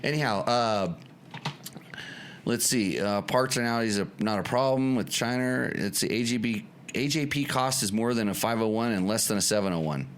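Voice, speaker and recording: A man's voice: fairly low pitch, moderate pace, clean tone. An older man hosting a casual dirt bike talk show, rambling with restarts. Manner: rambling, restarts and laughs easily. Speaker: host. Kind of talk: casual podcast chat. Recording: noisy room ambience.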